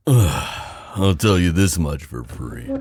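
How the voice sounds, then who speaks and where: A man playing an easygoing, deadpan ghost hunter in an audio drama. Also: deep voice, slightly rough, no room sound, studio quality, exaggerated voice.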